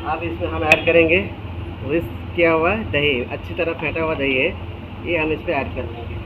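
Higher-voiced man over loud kitchen noise. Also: level tone, moderate pace, phone-quality sound. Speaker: cook presenting a recipe. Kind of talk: casual cooking demonstration narration. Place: restaurant kitchen, indoors. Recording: noisy kitchen, loud background roar.

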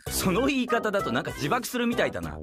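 Anime audio drama role: exasperated teen lad, highly animated delivery, blunt and sarcastic. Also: male voice, fast, music in the background. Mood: dry, deadpan. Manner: blunt, dry, explosive retorts. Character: sarcastic, exasperated teenage boy adventurer.

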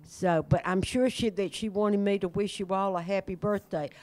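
A woman's voice: medium pitch, fairly even intonation, normal speed, darkish tone. An older woman informally hosting a gathering on a handheld microphone. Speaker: event host. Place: meeting room.

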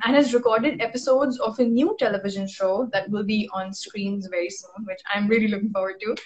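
A woman's voice: a little high, low-quality recording. A woman, hosting a casual live interview from a room at home on a phone. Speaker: host and interviewer. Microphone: phone at arm's length.

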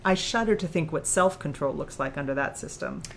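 A woman's voice: deep voice, fairly quick, a little hiss or hum. A woman performing an analytical, probing author character in audiobook dialogue. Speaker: audiobook reader.

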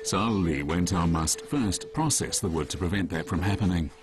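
A man reading scripted documentary narration in a measured, even way. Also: sits low. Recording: voice-over with music bed.

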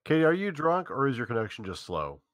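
A man's voice: mid-range voice, sing-song, fast talker, a bit bright, no room sound, studio quality. A man chatting and bantering casually as a cast member during a staged game reading.

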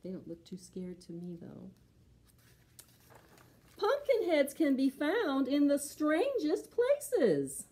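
A middle-aged woman hosting a preschool storytime, reading and talking to young children in an expressive, sing-song way. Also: sits high, slow pace, pauses often. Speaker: library storytime host for preschoolers. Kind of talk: children's storytime, singing and reading a picture book aloud.

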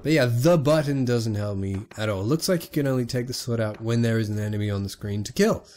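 A sick young man giving casual, excitable let's play commentary on a retro game, swearing often and talking to the characters on screen. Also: medium pitch, quick pace. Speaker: gaming YouTuber.

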